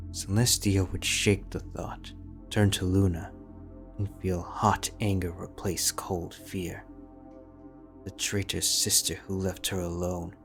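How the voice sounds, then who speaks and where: Deep monotone guy reading a fanfic audiobook, music playing under him. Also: creaky. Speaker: audiobook narrator. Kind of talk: dramatic fanfic audiobook narration. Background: background music.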